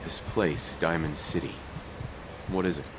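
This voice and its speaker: An actor playing a calm, reserved, plain-spoken wanderer, the hero of a video game. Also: male voice, rumbling low, measured pace, background noise. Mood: curious.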